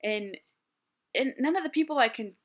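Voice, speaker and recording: Guy in his 30s w a higher voice, vlogging candid and reflective, restarts a lot as he thinks it through. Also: animated, normal speed, fairly bright tone. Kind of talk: reflective personal monologue.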